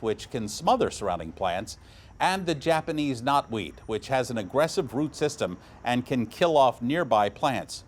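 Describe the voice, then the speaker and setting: A man reading scripted local news as the anchor of a community newscast. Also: mid-range voice.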